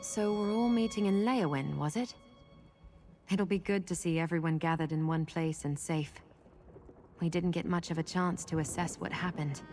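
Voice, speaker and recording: Woman acting a reserved game heroine, slightly low voice, measured and earnest, faint music. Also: darkish tone, lightly acted. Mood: weary, reflective. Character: composed, reserved female adventurer ally. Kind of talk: video game character acting.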